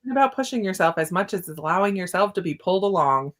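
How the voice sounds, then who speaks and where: Slightly low-voiced giggly woman chatting about books. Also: animated, fast talker. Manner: giggly, often laughing through her words. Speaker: book discussion host. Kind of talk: casual book discussion chat.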